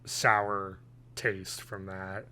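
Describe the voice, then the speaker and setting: A man, chatting casually and haltingly as a podcast co-host from home. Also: low-pitched, flat delivery, bright, forceful tone. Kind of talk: casual review chat. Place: home living room, video call.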